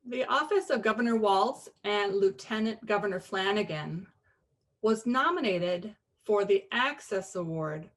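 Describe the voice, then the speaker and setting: A woman with a mid-range voice announces an award nominee slowly and formally. Her tone is proud and plain. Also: mid-range voice, fairly bright tone.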